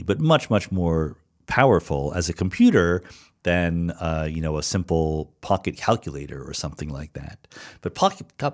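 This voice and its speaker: A middle-aged man with a low voice explains ideas to his listeners, moving his pitch up and down a lot as he goes. He thinks aloud in an easy conversational way, correcting himself mid-sentence and dropping in fillers, and now and then reads a listener's question aloud before answering it. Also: a little mellow. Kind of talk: solo Q&A podcast, explaining science.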